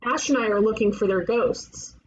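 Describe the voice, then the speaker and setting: A woman playing a brash, excitable young twin-sister ghost detective in an animated comedy table read, with an emphatic, theatrical delivery. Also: medium pitch, moderate pace, echoey room, highs cut off, natural voice. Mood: determined.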